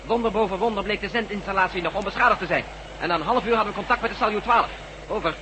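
Radio-play operator male actor, brisk, unflappable, rapid delivery on a noisy recording. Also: high-pitched, close to an everyday voice. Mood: reporting. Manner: brisk, matter-of-fact. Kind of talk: Dutch radio drama acting.

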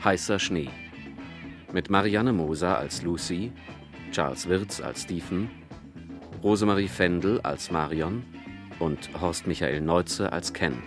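A man reading credits as a radio announcer in a formal, measured 1970s broadcast style. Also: deep voice. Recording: vintage radio broadcast over music. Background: music.

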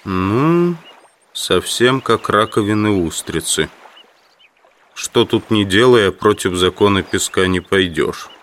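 An actor playing a polite, educated teacher who collects insects, speaking courteously and patiently in an audio drama. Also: male voice, on the low side, animated, takes it slowly, fairly bright tone. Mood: musing.

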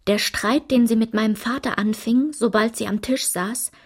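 An actress playing a woman who recounts a traumatic childhood memory in a measured, restrained literary radio play narration, at times dropping to a whisper. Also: a little high, steady tone, a little mellow, breathy edge. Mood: sombre, tense.

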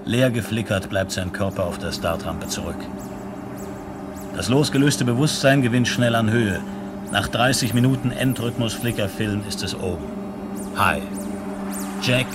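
A man narrating a science fiction radio play as a detached storyteller, in measured, clipped phrases. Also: fairly low pitch, heavy background noise, natural voice. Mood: detached, intense. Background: music.

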